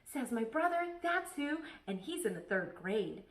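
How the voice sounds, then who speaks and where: A woman's voice: on the high side, very animated, unhurried, recorded from a distance, pitched above the performer's own voice. A woman voicing a bossy, know-it-all kindergarten girl in a children's storybook, snippy and emphatic. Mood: snippy, insistent. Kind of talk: children's storybook read-aloud. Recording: echoey room.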